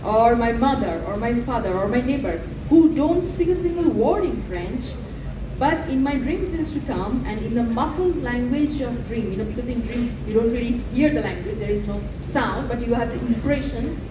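Bengali woman on a panel, high voice, stop-start talk, echoey and far off. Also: lively intonation, moderate pace. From Bengal, India. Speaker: panelist, writer. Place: festival stage, before an audience. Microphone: handheld microphone through PA, filmed from audience. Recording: roomy, distant stage PA with loud ambient noise.

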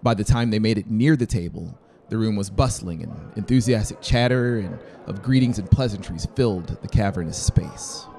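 A man's voice: lowish voice, normal speed, creaky, hardly any pauses, warm tone. A man in his thirties reading scripted fiction as an audiobook narrator, close on the mic in a small room. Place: small brick-walled room, indoors. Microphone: close dynamic mic on boom stand. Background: faint music.

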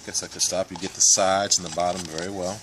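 A man in his forties giving a casual step-by-step how-to demonstration in a backyard, with relaxed fillers. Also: low-pitched, fast, background noise. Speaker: hobby boatbuilder. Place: backyard outdoors. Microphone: camera mic, handheld camera nearby.

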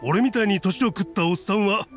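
Hearty, high-pitched middle-aged stall vendor. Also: male voice, brisk, a bit bright. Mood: jovial, respectful. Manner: rough, colloquial, hearty. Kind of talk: video game voice acting. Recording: background game music.

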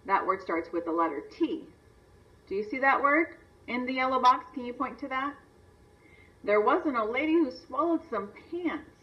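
A woman teacher giving a read-aloud lesson for young children, instructional, stressing words and asking simple questions. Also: a little high. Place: video lesson played on a screen and re-recorded. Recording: distant, dull replay through a screen's speaker.

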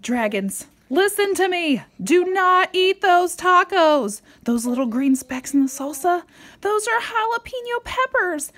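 Female storybook reader, animated and playful, swooping intonation, speaking to a small child. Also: very high voice, a little slow. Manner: playful, expressive, with dramatic emphasis. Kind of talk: animated read-aloud to a young child.

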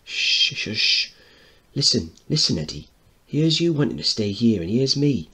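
A man voicing a warm working-class mother who comforts a child with gentle, colloquial, hushing talk. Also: medium pitch, very animated, measured pace, slightly rough, voice pushed up, exaggerated voice. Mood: soothing.

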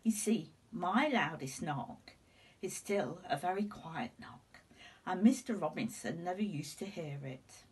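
A woman in her sixties reading a children's storybook aloud in an expressive, deliberate way. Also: on the low side, slightly rough. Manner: deliberate, animated telling. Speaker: teacher reading a picture book to children. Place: indoors at home, seated before curtains. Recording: slightly distant room microphone.

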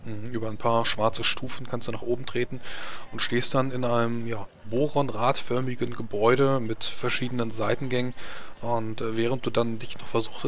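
A man leads a tabletop roleplaying game as its narrator. His voice moves little in pitch and sits at a middling height, with some faint noise behind it. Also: a bit bright. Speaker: game master. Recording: clear background noise.

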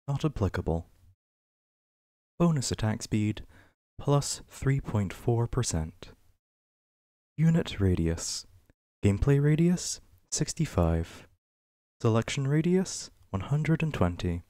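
A man reading reference text aloud for ASMR in a measured, list-like way, with pauses between items. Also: medium pitch, big pitch swings, dark tone, no echo, studio quality. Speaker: ASMR reader. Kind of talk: ASMR reading of a game wiki page.

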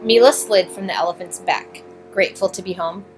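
Low-pitched woman reading a storybook. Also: fairly quick, over music, low-quality recording. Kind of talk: scripted children's storybook narration.

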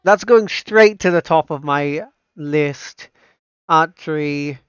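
A man's voice: a little high, unhurried, clean tone, no echo, muffled recording. A man doing casual livestream commentary as an anime fan. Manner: enthusiastic, repeats himself. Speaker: streamer reacting to anime trailers.

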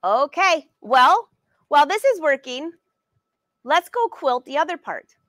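Brief: woman in her 40s, sewing teacher on a livestream, friendly and chatty, slightly high voice, dry close sound. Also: very animated, unhurried, fairly bright tone, no echo. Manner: chatty and upbeat, addresses viewers by name. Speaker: sewing educator and brand ambassador. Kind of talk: live-streamed sewing lesson answering viewer questions. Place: sewing studio indoors.

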